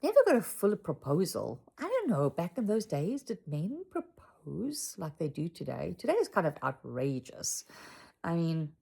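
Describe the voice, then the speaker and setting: Gravelly South African woman reminiscing. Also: on the low side, huge pitch swings, normal speed, warm tone. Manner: chatty and reflective, drifting into asides. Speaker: channel host. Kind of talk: casual personal storytelling to camera. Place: home room with a Christmas tree.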